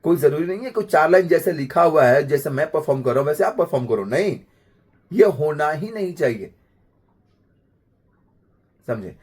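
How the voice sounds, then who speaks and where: Slightly husky man coaching acting. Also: fairly high pitch, lively intonation, normal speed, long pauses, a little mellow. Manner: emphatic, repeats phrases, frequent rhetorical check questions. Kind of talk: informal acting lesson to camera.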